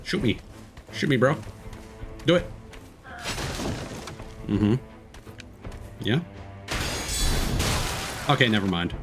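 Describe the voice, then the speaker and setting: A man's voice: medium pitch, big pitch swings, slow, pauses often. A male livestreamer giving casual gameplay commentary, thinking aloud in a rambling, hesitant way. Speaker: video game livestreamer. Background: game music.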